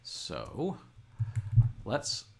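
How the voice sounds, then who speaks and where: A middle-aged man giving an unscripted tutorial, thinking aloud in a halting, methodical way as he talks through each step. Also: pushed and bright, slight background noise. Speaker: instructor. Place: indoor room at a desk, computer screen recording.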